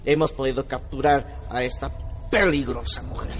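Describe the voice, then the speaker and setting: A man's voice: mid-range voice, steady tone, normal speed, soft background music, natural voice. A man playing a self-assured intelligence officer in a radio drama. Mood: smug.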